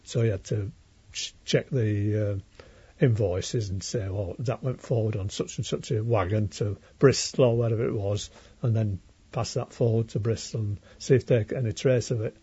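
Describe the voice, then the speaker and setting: A man's voice: on the low side, fairly even intonation, moderate pace, dark tone, husky, dry recording. An elderly man from Leeds with a Yorkshire accent, reminiscing in an unscripted, plain-spoken interview. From Leeds, England. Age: elderly, around 80s. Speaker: interviewee, retired railway worker. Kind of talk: unscripted oral history reminiscence. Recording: dull lo-fi interview recording.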